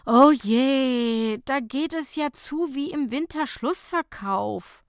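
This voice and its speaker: A woman's voice: high-pitched, slow, clear, resonant tone, no room sound, clean studio sound, pitched above the performer's own voice. A woman voicing a fun-loving, wry and playfully vain female friend in an audiobook story. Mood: dismayed. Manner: wry, mock-coquettish.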